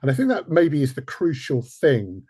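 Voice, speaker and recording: A man's voice: mid-range voice, sing-song, normal speed, warm tone, slightly rough, dry recording, clean studio sound. A man hosting a panel discussion, phrasing his points tentatively and hesitantly as he works through them. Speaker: host.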